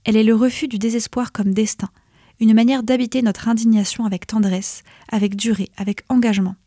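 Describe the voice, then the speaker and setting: A woman in her thirties reading a poetic essay in a measured, lyrical cadence, often letting phrase ends fall soft and hushed. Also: on the high side, steady tone, no echo, clean studio sound. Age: 30s. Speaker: essay reader. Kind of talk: scripted poetic narration.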